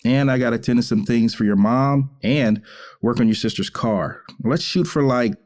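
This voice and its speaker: A man's voice: medium pitch, normal speed, lightly acted. Man playing encouraging, teasing middle-aged dad. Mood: proud, encouraging. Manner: encouraging, gently lecturing. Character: warm, teasing father of teenagers, middle-aged. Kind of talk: audio drama dialogue.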